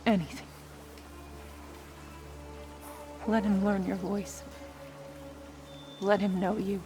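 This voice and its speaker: A woman playing a gentle, wry Norse warrior mother in a cinematic video game, speaking tenderly and with measured, soft teasing. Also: mid-range voice, flat delivery, lightly acted. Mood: urging. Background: music.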